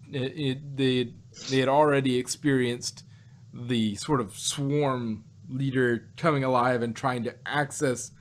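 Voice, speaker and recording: A man chatting casually about stories in a rambling, halting way, often repeating words as he works out a thought. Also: medium pitch. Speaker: podcast host reviewing audio dramas. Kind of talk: casual chat, fan speculation. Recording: noticeable background noise.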